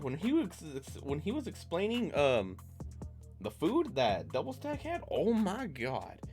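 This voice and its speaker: A man hosting a live stream, chatting casually from his bedroom and laughing and joking often. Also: mid-range voice. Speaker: stream host. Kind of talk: casual chat.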